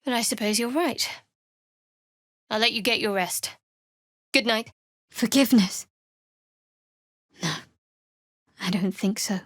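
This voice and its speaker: A woman playing a guarded, sardonic young cleric in a fantasy video game, speaking in a measured, dry, wry way. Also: on the high side, fairly bright tone, no echo.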